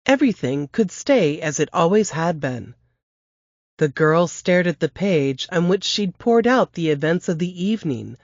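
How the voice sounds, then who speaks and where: A woman reading aloud from her novel in a steady, measured narrating voice. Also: fairly low pitch, dry recording, highs cut off. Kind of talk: audiobook-style novel reading.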